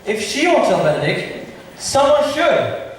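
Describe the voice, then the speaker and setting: Young actor as a high-voiced plotting nobleman, distant and echoey. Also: very animated, measured pace, exaggerated voice. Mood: scheming. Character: nobleman slyly plotting a matchmaking trick. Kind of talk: amateur school stage acting. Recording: distant mic in echoey hall, noisy.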